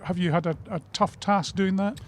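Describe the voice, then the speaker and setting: A man's voice: high-pitched, steady tone, moderate pace. A man working as a sports radio interviewer, putting questions before a match.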